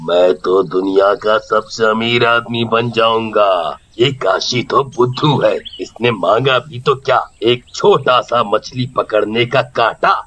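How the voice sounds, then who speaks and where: Casting: male actor as covetous cartoon fisherman, forceful tone, slow delivery, menacing and emphatic. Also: fairly low pitch. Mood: scheming. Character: greedy, threatening male village fisherman. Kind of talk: Hindi children's cartoon story dub.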